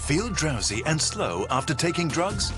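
A man's voice: on the high side, big pitch swings, measured pace. A man reading a scripted public service announcement. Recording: music bed behind the voice.

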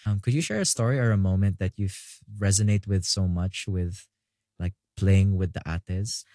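A man's voice: deep voice, moderate pace, mellow, no echo, studio quality. A man hosting a casual interview, asking questions in a playful, easygoing way. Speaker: podcast host.

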